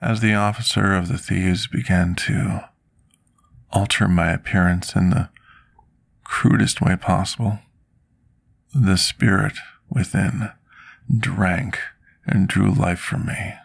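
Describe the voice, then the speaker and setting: A man playing a scarred, remorseful potion master in an intimate ASMR roleplay, speaking formally and deliberately like someone confessing his past. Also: deep voice, steady tone, gravelly, husky, no echo, heavily acted. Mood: sorrowful, confessional. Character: scarred male potion master, devoted, remorseful, soft-spoken servant.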